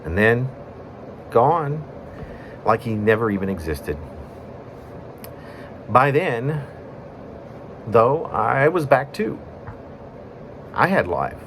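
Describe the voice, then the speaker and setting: A man reading his own poem in a conversational storytelling way, breaking it into short phrases with pauses. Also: mid-range voice, big pitch swings, fairly bright tone, a little hiss or hum. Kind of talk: spoken-word poetry reading, conversational storytelling.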